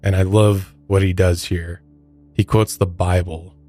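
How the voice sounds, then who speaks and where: Deep-voiced American man co-hosting a podcast. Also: little pitch movement, unhurried, dark tone, faint music behind. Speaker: podcast co-host. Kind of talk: casual true crime discussion.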